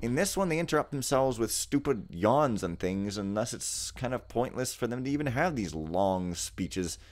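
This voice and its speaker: A man's voice: medium pitch, animated, normal speed. A young man giving casual, wry, rambling commentary while playing a game. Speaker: let's player.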